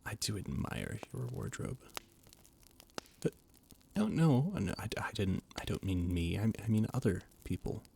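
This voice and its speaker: A man's voice: fairly low pitch, big pitch swings, unhurried, vocal fry, darkish tone, a little hiss or hum. A man voice-acting a flustered, formal royal adviser in an audio roleplay, often stammering. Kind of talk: audio roleplay, scripted monologue.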